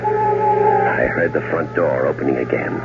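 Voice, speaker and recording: An urbane, cold-blooded husband tells his story in a seventies radio mystery. His voice is deep, dry and precise, with prominent music behind a muffled broadcast recording. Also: huge pitch swings, unhurried, gravelly, full character voice. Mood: cool, controlled. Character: fastidious, coldly murderous husband narrating his crime. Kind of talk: 1970s radio drama first-person narration.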